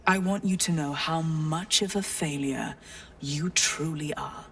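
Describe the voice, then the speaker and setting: A cold cult enforcer in a fantasy game. She is scornful and deliberate, with a deep voice heard a little distant over faint noise. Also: a bit bright. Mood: contemptuous. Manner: haughty, menacing, deliberate. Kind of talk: video game voice acting.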